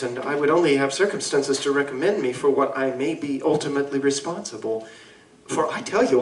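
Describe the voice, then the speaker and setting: A man playing an anguished Victorian gentleman scientist in a live audio drama, speaking formally, eloquently and deliberately. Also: medium pitch, slight background noise, natural voice. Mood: grave, resigned. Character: anguished gentleman scientist confessing to a monstrous alter ego. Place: live convention performance in a room. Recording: roomy live stage recording, distant mic.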